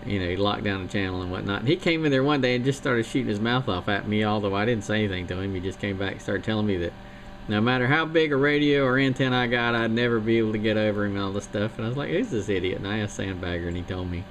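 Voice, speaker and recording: A man's voice: lowish voice, fast, slight background noise, low-quality recording. A man who operates a CB radio, chatting in a folksy, rambling way. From North Carolina. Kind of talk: casual radio chat.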